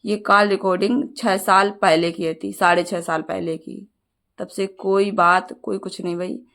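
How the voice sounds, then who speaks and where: A woman's voice: medium pitch, fairly even intonation, unhurried, creaky, fairly bright tone. A young woman, speaking in an earnest, aggrieved way as she makes a personal appeal to her viewers. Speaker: vlogger.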